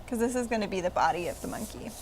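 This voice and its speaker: A woman's voice: medium pitch, expressive, very fast, darkish tone, slight background noise, rough recording. A woman leading a children's library craft, explaining each step simply and in a friendly way.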